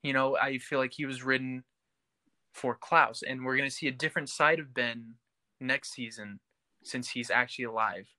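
A man's voice: medium pitch, steady tone, moderate pace, pushed and bright. A young man co-hosting a podcast, casually laying out his opinions point by point. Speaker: podcast co-host. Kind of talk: casual panel chat about TV.

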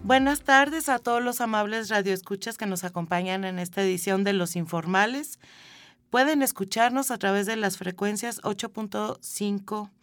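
A woman's voice: mid-range voice, moderate pace, a bit bright, faint music behind. A Mexican woman hosting a radio show, interviewing a guest in a friendly, chatty way. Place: radio studio.

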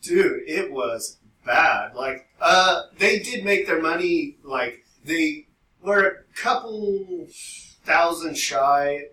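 A man with a bright, slightly high voice rambles casually as a podcast host, animated and quick to swear. He sounds away from the mic in a roomy, echoing space. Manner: animated storytelling, frequent swearing. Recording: roomy echo, distant mic, faint noise.

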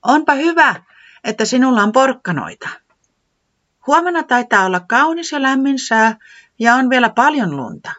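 Nainen esittää pientä, mietteliästä olentoa, joka puhuu epäröiden ja vihjaillen korkealla äänellä. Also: female voice, sits high, very animated, a bit bright, dull audio, voice pushed up. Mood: hinting. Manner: hesitant, hinting, wistful.

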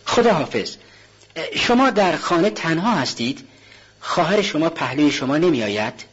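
A man voices a desperate young student in a storybook reading. He is tense and furtive and drops to a husky mutter when plotting alone. The recording is dull and lo-fi. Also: fairly high pitch, sing-song, a bit bright, pitched above the performer's own voice, exaggerated voice. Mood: nervous. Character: anxious, scheming poor young male student.

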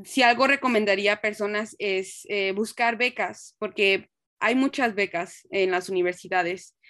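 A young woman from Mexico speaks with a Mexican accent as an interview guest. She is earnest and reflective, with a mid-pitched voice in a dry, close space. Her answers are conversational, with an occasional English word slipped in. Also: fairly bright tone, no echo. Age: young adult. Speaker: interview guest, nurse and researcher.

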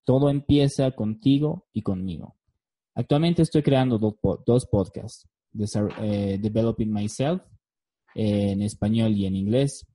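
Male podcast host, thirties, Bolivian accent, extremely soft-edged dark tone, earnest scripted delivery. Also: lowish voice, measured pace. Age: 30s. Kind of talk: scripted solo podcast narration.

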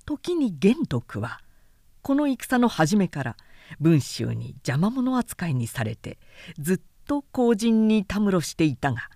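Female narrator for literary recitation: deliberate pace, wide melodic range, theatrical, close dry sound. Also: medium pitch, dark tone, no echo. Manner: measured, theatrical storytelling. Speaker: narrator reading literature aloud. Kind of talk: dramatic scripted literary narration.

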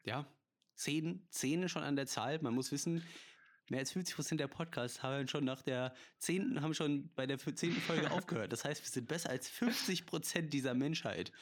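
A man's voice: on the high side, normal speed. Jokey rambling male podcast host. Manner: jokey, laughs often, rambles. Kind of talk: casual podcast chat.

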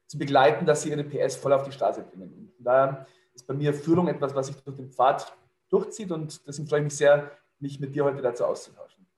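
A man's voice: medium pitch, fairly quick, darkish tone, roomy sound. A middle-aged man, a leadership consultant, talking fluently and in a businesslike way with some fillers. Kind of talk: conversational interview answer.

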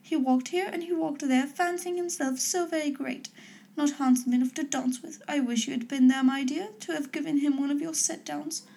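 A woman voicing an excitable middle-aged mother who chatters in a breathless, fluttery rush. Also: high voice, normal speed, gravelly, voice pushed up. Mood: indignant.

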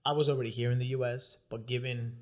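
A man's voice: medium pitch, fairly even intonation, fast talker, darkish tone. A young adult man hosting a podcast in casual interview chat. He laughs often and talks loosely, with restarts and asides. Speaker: podcast host.

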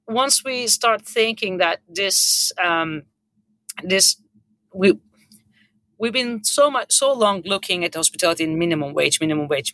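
A middle-aged woman with a bright, forceful voice and a Turkish accent. She talks off the cuff as an industry executive on a panel, earnest and emphatic, with medium pitch. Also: dry recording. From Turkey. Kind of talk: unscripted panel discussion.

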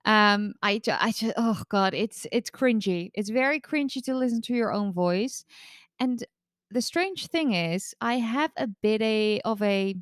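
Nasal woman, smooth and dry. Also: medium pitch, a little slow, dry recording. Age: 30s. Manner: chatty, rambling with frequent restarts and self-corrections. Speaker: podcast host and recovery coach. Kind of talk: casual solo podcast chat.

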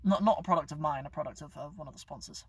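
Guy, young adult, rambling live-stream host, fairly high voice, dry car recording. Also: very fast, husky, dry recording, far from the mic. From England. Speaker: YouTube channel host. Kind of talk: casual live-stream chat. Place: inside his parked car.

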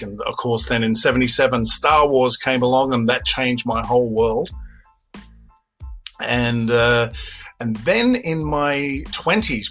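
A man's voice: mid-range voice, a bit bright. An Australian-accented man in his fifties, telling stories as an interview guest in an enthusiastic, anecdotal way. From Australia, grew up in Sydney. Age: 50s. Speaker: podcast guest, documentary filmmaker. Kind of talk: interview storytelling.

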